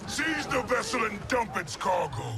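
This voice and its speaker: An actor playing a cruel, contemptuous imperial warlord in a video game, speaking in a commanding, formal way. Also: male voice, high voice, big pitch swings, measured pace, noisy background, exaggerated voice. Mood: barking orders. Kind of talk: video game cutscene acting. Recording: loud music bed under the voice.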